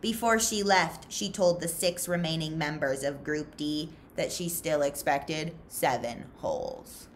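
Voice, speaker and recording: A woman in her thirties reading a children's novel aloud in an expressive, deliberate storytelling style. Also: low voice, slight background noise. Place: small furnished room indoors.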